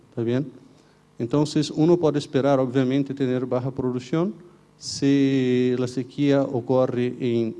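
A young man with a Brazilian Portuguese accent lectures from a conference stage into a handheld mic. His voice is dark and mellow, and he pauses and restarts often, using many fillers and checking in with his listeners. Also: medium pitch. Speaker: university researcher giving a conference talk. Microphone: handheld microphone.

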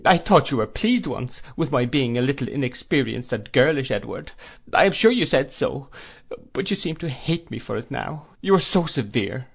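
A man's voice: mid-range voice, a little fast, vocal fry. A man voicing a timid, tearful young wife who pleads plaintively and falters, in a classic novel reading. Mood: tearful, hurt. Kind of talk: classic novel read aloud.

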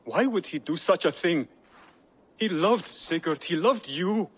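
Grieving comrade, highish husky voice, slow, halting, pained, Viking game drama. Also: male voice, animated, heavily acted. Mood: shocked disbelief. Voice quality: husky, breathy.

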